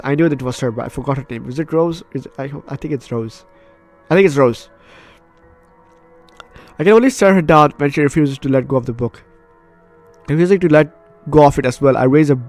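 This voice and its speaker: A man's voice: mid-range voice, a little mellow. A man doing a let's play, reading a visual novel aloud and breaking off into playful, chatty asides. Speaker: gaming YouTuber. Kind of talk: let's play, reading game text aloud with casual reactions.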